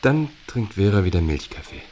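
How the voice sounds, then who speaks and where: An actor playing a detached narrator who tells a story tersely in a literary radio drama. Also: male voice, lowish voice, big pitch swings, normal speed, dark tone.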